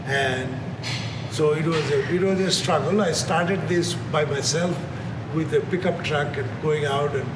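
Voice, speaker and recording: A man's voice: on the high side, unhurried. Bangladeshi-born man, middle-aged, earnest charity director; distant mic, echoey space. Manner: earnest, passionate, many restarts. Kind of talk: interview answers. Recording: echoey hall, far from the mic, noisy.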